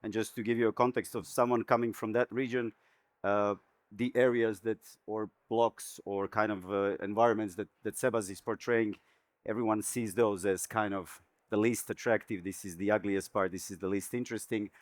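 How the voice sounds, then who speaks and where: Male curator, low-pitched, dry close sound, easygoing interviewer. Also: moderate pace, no echo. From Croatia. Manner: relaxed, thoughtful, many backchannels. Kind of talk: conversational art talk.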